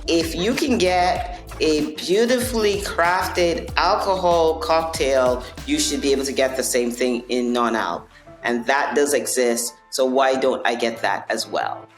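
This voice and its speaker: A woman from Barbados talks earnestly in a very deep, low voice, often restarting a phrase and checking in with "right?". She is recorded in an echoey room, with music playing clearly behind her. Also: lively intonation, measured pace. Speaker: non-alcoholic bar owner. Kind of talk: conversational interview answers.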